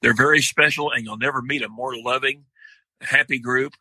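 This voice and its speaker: An older man with a deep, loud voice, talking slowly in a podcast interview with many pauses and restarts. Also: steady tone, slightly rough, dry recording, low-quality recording. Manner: slow, halting, many fillers and restarts. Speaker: guest, outdoor writer.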